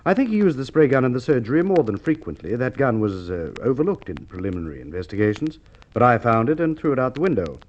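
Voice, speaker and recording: A man acting a courteous, methodical police inspector in an old radio crime drama, with polite, measured, probing speech. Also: mid-range voice, very animated, darkish tone, close to an everyday voice. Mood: confident accusation. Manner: polite, measured, hesitant probing with formal phrasing. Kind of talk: Golden Age Australian radio drama acting. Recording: muffled, noisy old radio recording with clicks.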